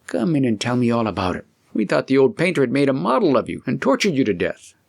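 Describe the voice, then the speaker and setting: A man voicing an excitable, loyal young student in a storybook, with an animated, exclamatory delivery. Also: mid-range voice, fast, vocal fry, pitched above the performer's own voice. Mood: startled.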